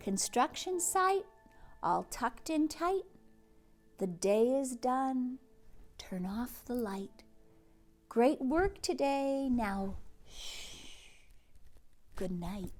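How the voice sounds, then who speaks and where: A woman hosting a read-aloud for young children, speaking expressively and gently, with hushes and words that drop toward a whisper. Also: high voice, expressive, extremely slow, long pauses, faint music behind. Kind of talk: children's storybook read-aloud and chat to families.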